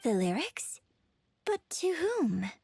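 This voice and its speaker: High female voice, gracious refined opera lady, modest, polite, lilting and expressive, game dialogue, music behind. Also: takes it slowly, gravelly, heavily acted. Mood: hesitant. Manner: polite, refined, softly deferential. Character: gracious, modest, cultured woman opera writer and performer. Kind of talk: scripted video game dialogue.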